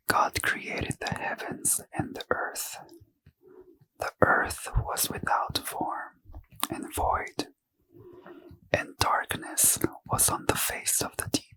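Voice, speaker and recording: A man reading scripture in a slow, soft unvoiced ASMR whisper as a host. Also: low-quality recording.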